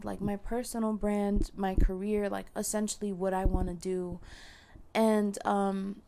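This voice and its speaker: A young woman with a hoarse, failing voice, talking casually to her viewers with lots of fillers and restarts. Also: medium pitch, a little mellow. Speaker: content creator. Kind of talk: casual chat to camera.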